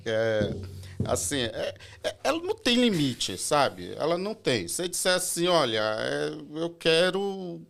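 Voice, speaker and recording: Man, guest on a podcast, casual and halting, leans on fillers while explaining a business service. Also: on the high side, very animated, gravelly, fairly bright tone. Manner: hesitant, many filler "é"s and restarts. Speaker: airport assistance company representative.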